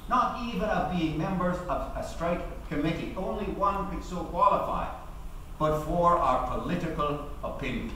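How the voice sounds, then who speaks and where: A middle-aged man playing a defiant, eloquent socialist defendant addressing a jury in theatrical, deliberate courtroom oratory. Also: a little high, fairly bright tone. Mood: pointed, indignant. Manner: declamatory, deliberate, rhetorical. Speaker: actor giving a dramatic reading. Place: large auditorium, speaking at a podium. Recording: echoey hall, distant mic, noisy room.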